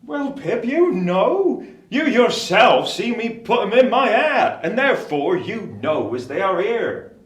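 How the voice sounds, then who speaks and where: High-voiced, bashful country blacksmith. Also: male voice, very animated, slow pace, full character voice. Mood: earnest, humble. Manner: rambling, roundabout, rustic speech. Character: humble, bashful country blacksmith, good-natured grown man. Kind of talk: radio play acting of Victorian drama. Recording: echoey room sound.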